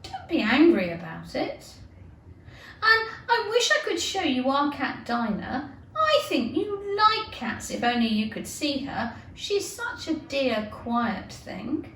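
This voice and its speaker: A woman's voice: high voice, sing-song, takes it slowly, fairly bright tone, pitched above the performer's own voice, exaggerated voice. A woman playing a polite, eager young girl from a storybook, with an earnest, soothing and chatty manner. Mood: apologetic, soothing. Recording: roomy, distant, noisy amateur recording.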